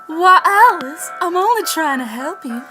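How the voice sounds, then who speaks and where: A woman plays a well-meaning grandmother in an old-time radio ad. Her voice is high and very animated, fussy and theatrical, with music clearly heard behind it. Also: measured pace, heavily acted. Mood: mildly hurt. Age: teens. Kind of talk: 1940s radio advertisement acting.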